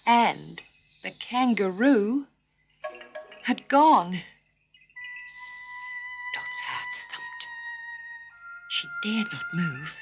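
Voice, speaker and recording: A woman reading a children's story as dramatic narration, expressive and full of suspense, often dropping to hushed tones. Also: a little high, very slow, pauses often, faint music behind.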